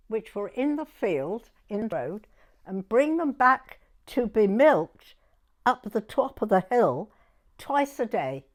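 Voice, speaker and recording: A woman in her nineties from Dorset with a southern English accent, reminiscing informally in an interview and often breaking off to rephrase mid-sentence. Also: mid-range voice. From Dorset, England. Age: 90s. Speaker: oral history interviewee.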